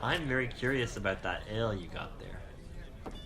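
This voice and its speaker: A man's voice: fairly low pitch, lively intonation, moderate pace, music in the background. A man playing an eccentric, unsettling stranger in a radio play, rambling theatrically and cryptically. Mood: whimsical, giddy. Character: eccentric, unsettling male stranger, whimsical turning sinister.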